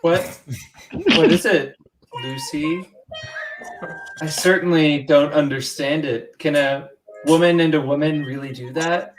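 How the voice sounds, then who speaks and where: A woman's voice: deep voice, slow pace, pauses often, faint music behind, poor audio. A woman playing a prim, easily scandalised young Victorian schoolmistress in a comic radio play read-through.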